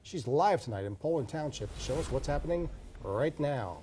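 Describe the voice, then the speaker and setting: Mellow American male news anchor. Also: mid-range voice, expressive, normal speed, a little hiss or hum. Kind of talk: scripted news narration.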